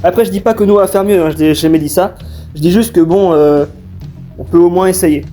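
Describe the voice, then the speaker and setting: A young man explains a project informally in a slightly high voice. His tone is soft and mellow, and his delivery is halting, over faint music. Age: young adult. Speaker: fan dub creator. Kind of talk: informal question-and-answer explanation.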